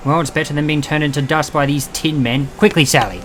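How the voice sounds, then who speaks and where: A man playing a quick-witted, protective time-travelling adventurer in a fan audio drama, wry, chatty and cheekily defiant. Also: on the high side, steady tone, fairly bright tone, slight background noise, close to an everyday voice. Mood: urgent.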